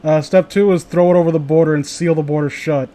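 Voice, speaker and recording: A man's voice: on the high side, fairly even intonation, fast, mellow. A man hosting a livestream, chatting casually with friends and viewers in a sardonic, profane way. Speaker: livestream host.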